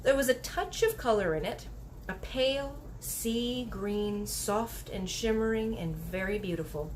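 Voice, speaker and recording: A woman's voice: medium pitch, a little slow, slight background noise. A woman reading a children's book aloud as narrator. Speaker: story reader.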